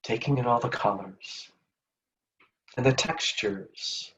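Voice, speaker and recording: A man leading a guided meditation in a gentle, soothing, unhurried manner with long pauses between phrases. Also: medium pitch, far from the mic, low-quality recording.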